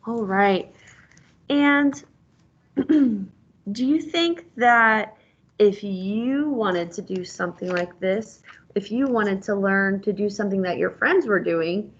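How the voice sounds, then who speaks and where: A woman's voice: medium pitch, takes it slowly, muffled recording. A woman reading a storybook aloud like a teacher, encouraging and prompting the listener with questions.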